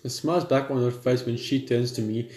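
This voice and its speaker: A man's voice: mid-range voice, steady tone, brisk, darkish tone. A man reading story text aloud for a gaming video, sometimes stumbling over the words. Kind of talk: let's play read-aloud. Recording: roomy echo with music behind.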